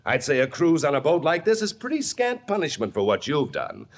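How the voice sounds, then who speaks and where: Stern, animated father. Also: a little high, fairly quick. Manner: scolding, authoritative. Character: stern, controlling middle-aged father of a boy. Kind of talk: old-time radio drama acting. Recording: noisy vintage radio recording.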